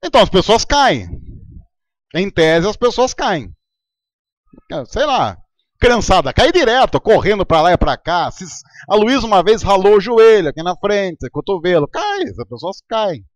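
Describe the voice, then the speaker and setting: Brazilian male pastor, high animated voice, dry close sound, chatty sermon delivery. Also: measured pace, dry recording. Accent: Brazilian Portuguese. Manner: conversational preaching with anecdotes.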